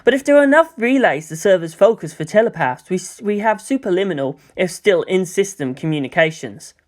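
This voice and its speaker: A man's voice: sits high, lively intonation, brisk. An earnest teenage boy in a sci-fi audiobook, voiced by a man, speaks with careful politeness. His wording is precise and technical, like a serious young student. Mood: earnest, explaining.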